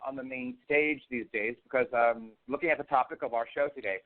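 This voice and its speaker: A man's voice: medium pitch, a little fast. Man with a head cold, snotty phone-line sound. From US East Coast. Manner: chatty, profane, jokey. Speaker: radio show host. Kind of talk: casual chat. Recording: phone-like lo-fi audio.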